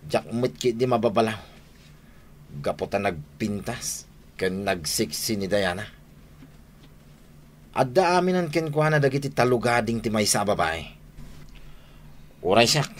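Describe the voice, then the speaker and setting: A man's voice: medium pitch, natural voice. An actor playing an impatient, huffy henchman muttering to himself in an Ilocano radio drama, with an Ilocano accent. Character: impatient, grumbling henchman waiting on his boss.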